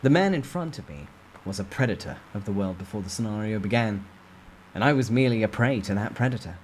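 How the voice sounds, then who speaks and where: A man's voice: lowish voice, lively intonation, fast, darkish tone, slight background noise, low-quality recording. A man reading a novel aloud as a dramatic first-person storytime narration.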